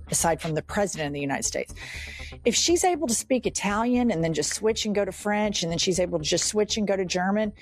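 A woman in a heated political chat, profane, indignant and emphatic. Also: medium pitch. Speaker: political commentator.

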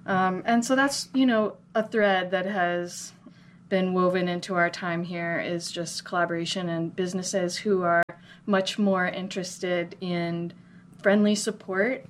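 An American woman from Minnesota telling her story as an interview guest in an earnest, reflective, conversational way. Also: on the low side. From Minnesota, United States. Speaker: interview guest, chocolate business owner. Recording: clear background noise, room ambience.

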